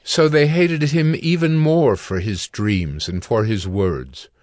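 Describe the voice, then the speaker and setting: A man narrating scripture in a measured, solemn storytelling style. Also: fairly low pitch, steady tone, darkish tone, no echo, studio quality. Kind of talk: scripted dramatic Bible narration.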